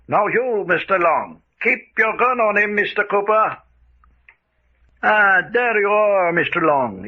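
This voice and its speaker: A man playing a courteous, coldly commanding villain who gives formal, measured orders in a radio drama. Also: high-pitched, full character voice. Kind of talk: old-time radio drama acting. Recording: dull, noisy vintage-style radio sound.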